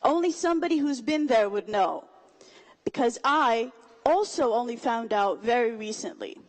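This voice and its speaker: A woman's voice: fairly high pitch, expressive, normal speed. A young woman from Somalia giving a public speech, engaging the audience with rhetorical questions. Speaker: student speaker.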